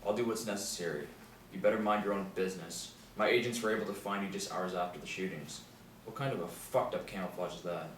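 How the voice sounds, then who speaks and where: A man playing an angry, low-voiced husband. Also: quick pace, natural voice. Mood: angry, nervous. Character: angry, nervous middle-aged husband with a dark past. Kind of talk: script table read. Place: small room indoors. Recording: roomy, distant mic, faint noise.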